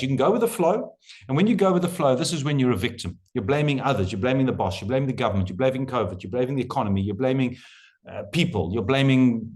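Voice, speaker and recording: A man leads an online workshop as coach, and his voice rises and falls a lot as he drives each point home. He talks over a video call in an emphatic, repetitive lecturing style. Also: medium pitch. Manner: animated, emphatic lecturing with repeated phrases.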